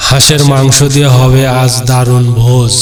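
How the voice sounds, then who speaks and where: A man's voice: medium pitch, little pitch movement, normal speed, faint music behind, rough recording. A man narrating a scripted cartoon moral story as its storyteller.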